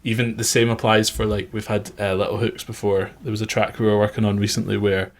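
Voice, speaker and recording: Lower-voiced man explaining music production. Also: level tone, fast. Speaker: music producer teaching a tutorial. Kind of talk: informal tutorial explanation.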